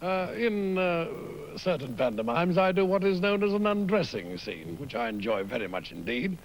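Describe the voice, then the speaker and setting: A man's voice: a little high, very animated, moderate pace, a little hiss or hum. An older man genteelly describing a comic undressing routine with a cheeky, anecdotal manner. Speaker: pantomime dame performer. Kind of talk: archival interview.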